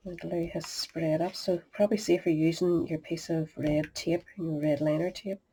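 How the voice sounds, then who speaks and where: A woman's voice: low voice, fairly even intonation, normal speed, warm tone, a little hiss or hum. Chatty woman crafting, sniffly. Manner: chatty and homely, muttering asides under her breath. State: sniffly with a cold. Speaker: craft tutorial host. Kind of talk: step-by-step crafting demonstration.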